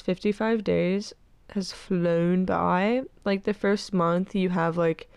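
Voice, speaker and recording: A woman's voice: lowish voice, darkish tone, clean tone, dry recording. A woman in her twenties hosting a podcast, chatting casually with lots of "like," her nose stuffed up. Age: 20s. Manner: rambling, peppered with "like". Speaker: podcast host. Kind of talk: casual chat.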